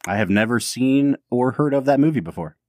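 A man's voice: mid-range voice, animated, fairly quick, a little mellow, no echo, clean studio sound. A man co-hosting a podcast in casual chat, talkative and self-deprecating, often breaking into laughs. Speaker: podcast co-host.

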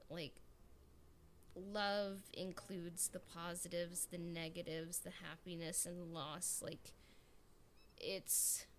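A woman co-hosting a podcast in a reflective, casual way, haltingly searching for her words. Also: fairly low pitch, a bit bright, a little hiss or hum.

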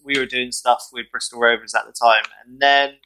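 A man co-hosts a podcast in casual chat, joking and telling lively anecdotes. His voice is bright and forward at a middle pitch. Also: normal speed, a little hiss or hum, low-quality recording. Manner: jokey, animated storyteller. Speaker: co-host, mental health support worker.

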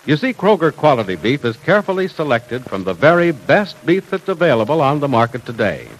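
A man's voice: very animated, a little fast, poor audio. Folksy old-time radio announcer man. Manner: hearty sales pitch, folksy.

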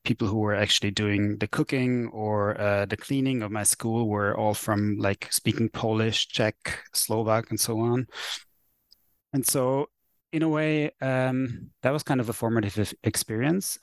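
A man's voice: on the low side, no echo. A man in his thirties from Austria, a native German speaker, answering interview questions thoughtfully with many fillers and restarts. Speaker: guest author, sociologist.

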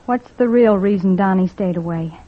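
A woman's voice: mid-range voice, moderate pace, close to an everyday voice. A woman playing an earnest, concerned young caseworker in an old-time radio drama, speaking in a polite, proper, questioning way. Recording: tinny old radio recording.

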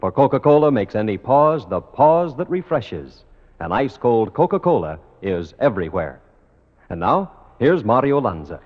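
A man's voice: mid-range voice, very animated, normal speed. An American man working as a radio announcer, reading scripted show announcements and sponsor messages in a 1950s broadcast style. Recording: muffled vintage radio broadcast, music behind. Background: show music.

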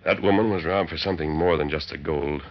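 An actor playing a calm, resolute, commanding masked cowboy hero in an old-time radio western. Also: male voice, very deep voice, quick pace. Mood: calm, urgent. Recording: muffled, noisy old radio recording.

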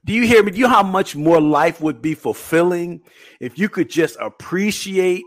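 A man's voice: high-pitched, moderate pace, no echo. Emphatic middle-aged man, preacherly. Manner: emphatic, animated, repeats words. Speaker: podcast co-host. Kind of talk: casual preaching-style chat.

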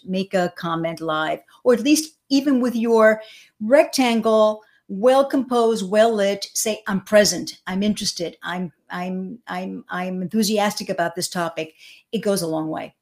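A woman's voice: medium pitch, a little mellow. A woman born in Cuba whose first language is Spanish, a speaker coach talking in an interview over a video call. She talks animatedly, with frequent restarts, and jumps her voice up when acting out quotes. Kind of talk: livestream interview.

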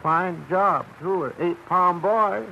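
An actor playing a folksy, gossipy old American idler with a creaky voice and rambling, unhurried talk, in 1940s radio style. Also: male voice, sits high, bright, forceful tone, full character voice. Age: older. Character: slow-talking old small-town male idler, gossipy, folksy. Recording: dull, noisy old radio recording.

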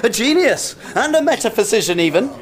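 A man plays a haunted, dissolute painter in a radio play, theatrical and grandiose. His pitch leaps and dips in a lively way as he swings between bravado and desperation. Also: a little fast. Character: haunted, vain, dissolute painter, growing frantic.

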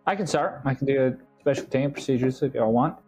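A man's voice: medium pitch, fast talker, a little mellow, slightly rough, faint music behind. A man co-hosting a stream, chatting and reading aloud with dry humour. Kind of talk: casual chat and read-aloud.

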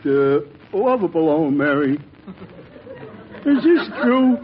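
An actor playing a meek, halting cuckolded husband in a vintage radio comedy sketch. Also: male voice, fairly high pitch, sing-song, some chatter behind. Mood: resigned. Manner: halting, mock-indignant. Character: John, meek lovable wronged husband in a spoof. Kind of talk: 1940s radio comedy acting. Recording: dull noisy old radio with audience.

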